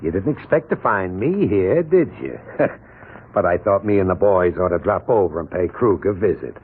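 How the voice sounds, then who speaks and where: An actor playing a mocking, menacing American gangster boss in a 1940s radio drama, talking in tough slang. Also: male voice, low voice, animated, moderate pace, narrow, tinny audio. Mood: gloating, mocking. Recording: noisy, band-limited 1940s radio recording.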